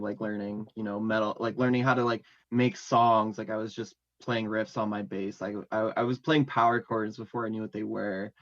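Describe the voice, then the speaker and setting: A man chatting casually as an interview guest about his music, rambling and full of fillers. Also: on the low side. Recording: dull lo-fi audio.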